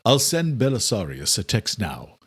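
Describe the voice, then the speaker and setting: A man's voice: fairly low pitch, wildly swooping pitch, normal speed, breathy edge, exaggerated voice. A stern vampire king, acted by a male narrator holds his council in order. His speech is formal and commanding, in a dramatic audiobook style. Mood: measured, formal.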